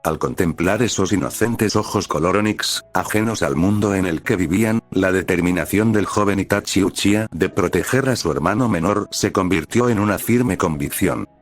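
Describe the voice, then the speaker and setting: A man's voice: sits low, normal speed, hardly any pauses. A man reading out scripted fan fiction as an audiobook-style narrator. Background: background music.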